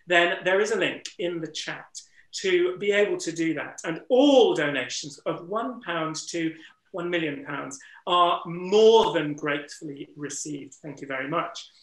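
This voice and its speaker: A man with a fairly high, bright, ringing voice talks earnestly and reflectively over a video call, his voice a little strained from disuse. Room echo hangs around his words. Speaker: interviewer and wildlife trust ambassador. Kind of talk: webinar conversation. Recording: roomy echo.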